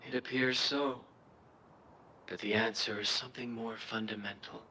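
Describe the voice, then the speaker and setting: A man's voice: fairly low pitch, steady tone, vocal fry, bright, forceful tone, slight background noise, rough recording. A man playing a composed, enigmatic guardian of a dream realm, speaking formally in a video game. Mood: explanatory. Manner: measured, formal.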